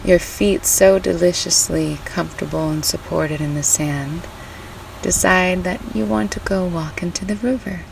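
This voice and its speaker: Woman, low-pitched, soothing meditation guide, very slow pace, gentle instruction. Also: gravelly. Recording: distant mic with faint background noise.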